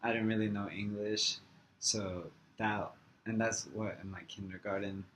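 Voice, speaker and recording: A young man from Florida whose first language is Farsi, answering interview questions in a relaxed, halting way with many "like" fillers. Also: lowish voice, a little hiss or hum. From Florida, USA.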